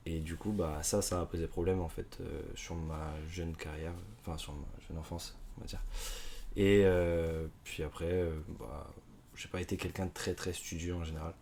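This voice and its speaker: A man in his twenties, born in Canada and raised in France, telling his story as a podcast guest in a hesitant, unhurried way. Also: deep voice, flat delivery, a little mellow, a little hiss or hum. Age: 20s. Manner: hesitant, many fillers and restarts, unhurried. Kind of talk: casual conversation.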